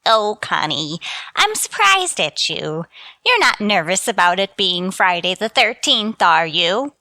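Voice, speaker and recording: A woman's voice: mid-range voice, very animated, measured pace, sharp tone, no echo, heavily acted. An actress playing a chirpy, scatterbrained, motherly landlady in a scripted radio comedy, in a sing-song, absent-minded manner. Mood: fussing, coaxing. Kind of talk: scripted radio sitcom acting.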